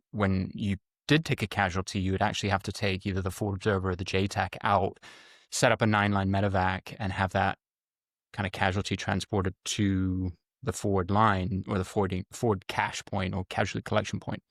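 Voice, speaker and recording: Low-voiced man explaining at a halting pace. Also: fairly bright tone, dry recording, clean studio sound. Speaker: guest, former Army Ranger medic. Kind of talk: conversational interview answers.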